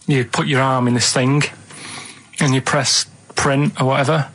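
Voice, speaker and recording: A man co-hosting a radio show, telling rambling anecdotes in a halting, matter-of-fact way. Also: medium pitch, flat delivery, fairly bright tone, poor audio. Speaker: radio show co-host telling odd stories.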